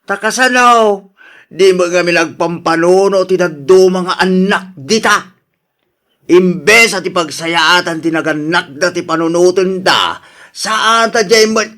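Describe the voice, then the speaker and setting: A man's voice: high voice, measured pace, bright, forceful tone, full character voice. An actor playing an exasperated aging father, lecturing emphatically in radio drama style. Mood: exasperated, scolding. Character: exasperated aging father pressing his daughter to marry.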